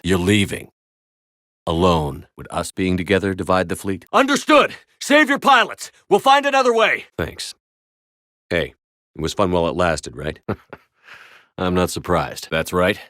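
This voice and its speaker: Low-voiced, commanding soldier hero. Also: male voice, a bit bright, no room sound, studio quality. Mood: calm, sincere, then urgent, commanding. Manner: firm, terse, authoritative delivery. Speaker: video game voice actor. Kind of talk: video game character dialogue.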